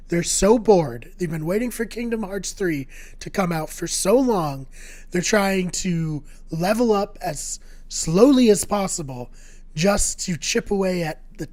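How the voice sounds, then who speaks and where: A man co-hosting a casual video game podcast, his voice going hoarse, talking in an upbeat, jokey way with rambling anecdotes. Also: high voice, a little mellow. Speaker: podcast co-host. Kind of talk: casual chat.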